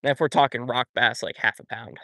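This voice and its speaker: A man's voice: mid-range voice, fairly quick, sharp tone, dry recording. A young man fishing at a pond outdoors, chatting casually and answering viewers' comments while he streams. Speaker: fishing YouTuber.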